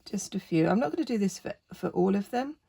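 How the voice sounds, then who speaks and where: A woman with a croaky voice, chatting casually through a painting tutorial and gently encouraging the viewer. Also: mid-range voice, expressive, fairly quick. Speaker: art tutor.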